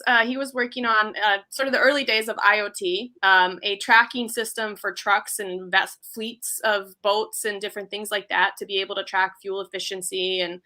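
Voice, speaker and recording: A woman in her thirties who grew up in Iceland, talking casually as a guest on an interview show. Her voice sits a little high and rings bright and forward, and she keeps filling her sentences with ums and you-knows. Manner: chatty, many fillers like um and you know.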